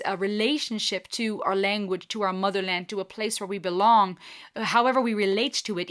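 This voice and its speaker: A bubbly, talkative woman from Germany hosts a reaction video. She muses aloud in reflective commentary at an ordinary middle pitch, chatty and warm-spirited in her thinking out loud.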